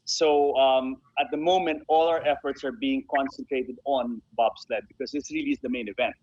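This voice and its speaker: A man's voice: mid-range voice, fairly even intonation, moderate pace, a little mellow, slightly rough, dry recording, highs cut off. A man speaking as a guest in a conversational radio interview, emphatic and repeating phrases to stress them. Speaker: interview guest, sports association founder and honorary consul.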